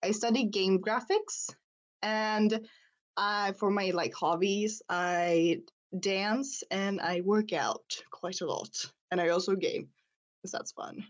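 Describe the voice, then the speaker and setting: A woman's voice: medium pitch, steady tone, slow. A seventeen-year-old woman from Sweden whose first language is Swedish, co-hosting a casual podcast while sniffling with a cold. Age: teens. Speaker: podcast co-host. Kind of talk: casual chat.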